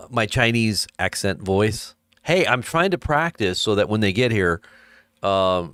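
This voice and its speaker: A man's voice: fairly low pitch, a bit bright, smooth, no room sound, studio quality. A man hosting a casual live stream, reading news headlines aloud and adding wry asides with chuckles. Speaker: host of an online ministry live stream.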